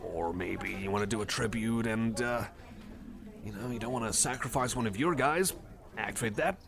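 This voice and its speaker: A man acts out a nerdy, good-natured cyborg with a lowish, gravelly voice. He rambles through his geeky hobby in a casual ASMR roleplay, hesitant and quick to apologize, with cafe chatter clearly heard behind him. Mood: geeky, enthusiastic. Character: friendly, nerdy, rambling male cyborg card enthusiast. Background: busy cafe chatter.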